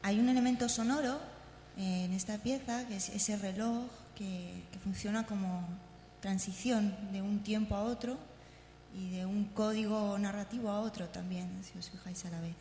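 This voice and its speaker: A woman of average pitch presents live at a conference. Her voice rings in an echoey hall, with faint hum underneath. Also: steady tone, normal speed, mellow. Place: echoey congress venue.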